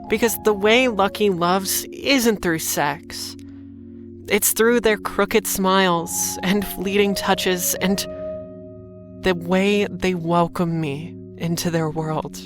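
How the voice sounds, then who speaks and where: A tender, haunted young woman in a noir radio play. She speaks slowly in a slightly low voice, with a restrained, wistful manner. Underscore music is clearly heard behind her. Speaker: protagonist and narrator. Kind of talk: cinematic noir audio drama, introspective narration.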